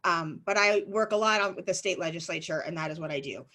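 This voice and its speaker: A woman lobbyist leading an informal online training, explaining things briskly with frequent ums and restarts, her voice worn down and a cough drop in her mouth. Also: on the low side, pushed and bright.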